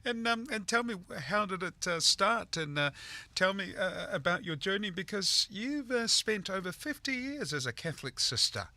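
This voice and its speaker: A man's voice: high-pitched, dry recording. Guy hosting a radio show, bouncy up-and-down voice, lots of uhs, dry close sound. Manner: lively, halting, many fillers and restarts. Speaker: radio host. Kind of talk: radio interview.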